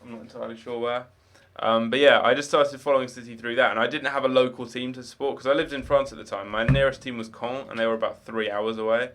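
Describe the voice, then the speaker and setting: A man's voice: mid-range voice, fairly even intonation, quick pace, hardly any pauses, a bit bright. A young man with no marked accent, chatting casually while live-streaming a game, rambling with many fillers and restarts.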